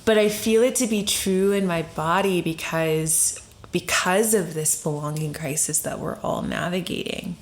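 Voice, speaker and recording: A woman's voice: fairly low pitch, steady tone, a little slow. A woman speaking as a podcast guest, explaining ideas in an informal, reflective way, searching for her phrasing and posing rhetorical questions. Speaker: podcast guest, story doula and facilitator.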